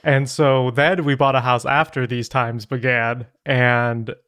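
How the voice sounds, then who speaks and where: A man with a mid-pitched voice chats as a podcast co-host. His delivery is casual and wry, like telling friends a personal story. The recording is close and very dry. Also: flat delivery, unhurried, fairly bright tone, clean tone, no echo. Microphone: close podcast microphone.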